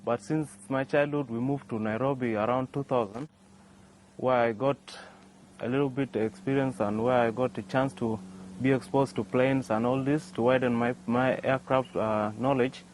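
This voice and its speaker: A young man answers interview questions outdoors. He restarts often and tags on "yeah" while he thinks. His voice sits at a middle pitch, with faint noise behind him. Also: steady tone, moderate pace, a little mellow, low-quality recording. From South Sudan. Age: young adult. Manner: hesitant, many "yeah" and restarts. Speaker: interview guest, young inventor. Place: outdoors by a workshop.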